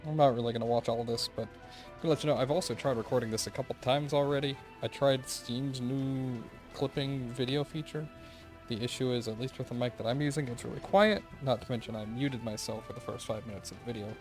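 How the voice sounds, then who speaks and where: A man's voice: medium pitch, steady tone, brisk, music in the background. A man hosting a game review video with casual, tentative, unscripted commentary, thinking aloud as he goes.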